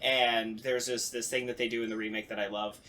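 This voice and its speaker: A man's voice: mid-range voice, little pitch movement, brisk, bright, forceful tone. A man hosting a casual podcast chat, telling stories animatedly and acting out imagined reactions. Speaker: podcast host. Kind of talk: casual chat about radio plays.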